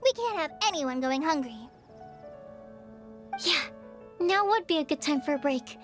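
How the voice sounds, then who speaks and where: An earnest young female companion from an anime game. The voice is very high, gentle and considerate, dutiful in tone, with soft music behind. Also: expressive, unhurried. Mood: helpful, earnest.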